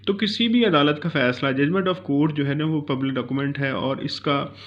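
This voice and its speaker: Higher-pitched Pakistani man lecturing, muffled recording. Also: moderate pace. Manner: explanatory, switches between Urdu and English. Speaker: law teacher. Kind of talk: informal Urdu-English legal lecture. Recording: dull, lo-fi recording.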